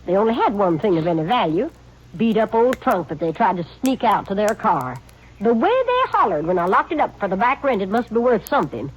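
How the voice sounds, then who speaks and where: An actress playing a thrifty, suspicious spinster who owns a boarding house, in a 1950s radio drama. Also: female voice, mid-range voice, big pitch swings, fairly quick, slightly rough. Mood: indignant. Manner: folksy, indignant, drawling country talk. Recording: dull old radio broadcast recording.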